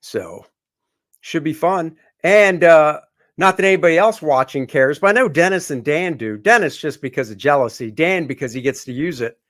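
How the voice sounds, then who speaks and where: A man's voice: on the high side, lively intonation, moderate pace, a bit bright. A man co-hosting a casual livestream, rambling and joking with plenty of fillers. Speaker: comic artist co-host.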